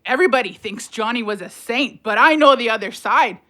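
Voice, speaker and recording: A woman's voice: on the high side, fairly quick, bright, forceful tone. An actress playing a bitter, sardonic widowed mother of three in a naturalistic audio play, blunt and colloquial in her talk. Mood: angry, accusing. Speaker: voice actor in audio drama.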